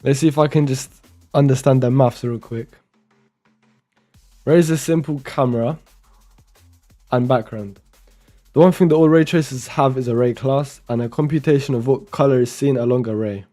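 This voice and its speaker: A man's voice: medium pitch, normal speed, pauses often, warm tone. Young man muttering code aloud, faint music. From Romania. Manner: muttering code aloud while typing, chatty asides. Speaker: programming streamer. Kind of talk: casual live-coding commentary.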